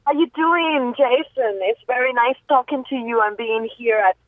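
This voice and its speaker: A woman's voice: a little high, moderate pace, no echo. A woman with a Peruvian Spanish accent, a musician guest talking playfully over the phone. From Peru. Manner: lively, playful, laughs easily. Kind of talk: phone interview. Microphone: telephone. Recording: tinny phone line.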